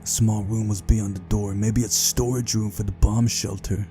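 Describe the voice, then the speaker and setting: A man's voice: fairly low pitch, flat delivery, normal speed, mellow, soft background music. A man reading a visual novel's story aloud as scripted first-person narration for a playthrough.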